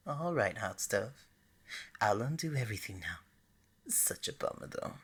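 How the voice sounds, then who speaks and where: A woman's voice: rumbling low, big pitch swings, unhurried, breathy edge, full character voice. A woman playing a flirty, scatterbrained god of love in an ASMR romance roleplay, speaking to the listener in a playful, coaxing way. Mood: sheepish, deflated. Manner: playful, coaxing, bubbly and hesitant by turns.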